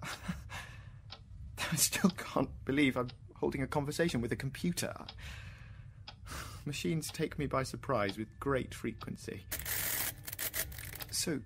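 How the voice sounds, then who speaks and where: An actor with an English accent playing a troubled, proud middle-aged British scientist in a radio drama, intense and questioning. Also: male voice, medium pitch, slow pace, gravelly, long pauses, a little hiss or hum. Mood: brooding, hushed. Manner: intense, questioning, swinging from hushed musing to outbursts. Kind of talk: theatrical radio drama dialogue.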